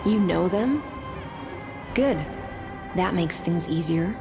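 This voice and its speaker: A woman's voice: fairly low pitch, lively intonation, slow pace, crowd noise. A woman playing a cool, commanding, wary warrior in a fantasy game, composed and formal in her delivery. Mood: cold, commanding. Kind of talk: scripted fantasy game drama. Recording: long reverb, clear music behind.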